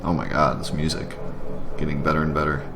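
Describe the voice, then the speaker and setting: A man's voice: a bass voice, fairly even intonation, brisk, vocal fry, noisy background. A man livestreaming a game playthrough, chatting casually to his viewers between readings of the dialogue. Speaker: livestream game player voicing all characters.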